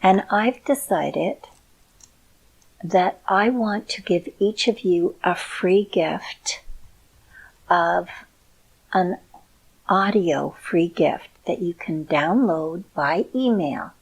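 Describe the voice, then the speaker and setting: Middle-aged woman, calm encouraging talk to viewers, low husky voice, gravelly. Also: low-quality recording. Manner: slow, soothing, encouraging. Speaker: video host. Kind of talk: heartfelt personal talk to viewers.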